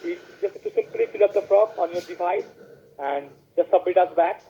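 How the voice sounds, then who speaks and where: A man cold-calling as a scam caller, pushing a scripted pitch and spelling letters out slowly over a phone line. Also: very animated, dark tone, slight background noise. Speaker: scam caller posing as support.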